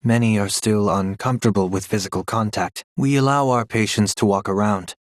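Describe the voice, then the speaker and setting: Dutiful game care worker, played by a man: lowish, plainspoken, caring, dry recording. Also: steady tone, fairly quick, dry recording, natural voice.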